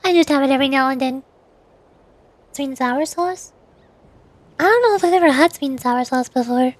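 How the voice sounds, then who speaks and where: A high-voiced woman streaming a game and chatting casually. She speaks haltingly, circling back over her words, and the sound is close and dry. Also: dry recording. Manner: hesitant, halting, repeats words. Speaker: VTuber streamer. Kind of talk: casual gaming stream chat.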